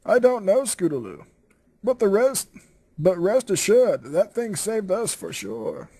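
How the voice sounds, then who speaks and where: Man voicing a high-pitched little cartoon pony filly. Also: sing-song, a little slow, dark tone, heavily acted. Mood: curious. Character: young cartoon pony fillies chatting about their discovery.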